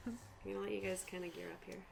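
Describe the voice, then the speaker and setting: A woman's voice: lowish voice, flat delivery, racing, creaky, noisy background. A woman running a tabletop game as game master, talking casually with her players.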